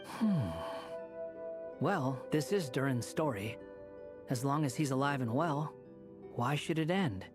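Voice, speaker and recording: A man's voice: mid-range voice, expressive, takes it slowly, long pauses, music in the background. An actor playing a proud, protective young male warrior in a video game, curt and challenging. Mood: dry.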